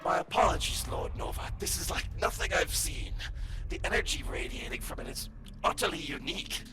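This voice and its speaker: A man's voice: high voice, a little fast, music in the background, natural voice. A man voicing a haughty, devious robot scientist in a scripted audio drama, speaking in a formal, condescending, theatrical manner. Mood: deferential.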